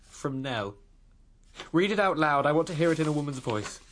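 An actor playing a troubled young British composer, moody and evasive, halting when agitated, in a radio drama. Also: male voice, fairly high pitch, animated, a little hiss or hum, natural voice. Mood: downcast, withdrawn. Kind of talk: broadcast radio drama acting.

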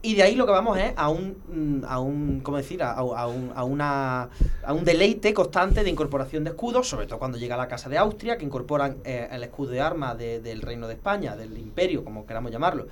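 A man, a guest expert explaining history in casual conversation, lecturing with many pauses and restarts. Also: medium pitch, lively intonation, slight background noise.

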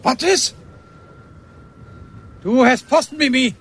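A man's voice: extremely high pitch, slow, a bit bright, slight background noise, heavily acted. An actor playing a rigid, duty-bound village policeman in a radio drama, stern and commanding.

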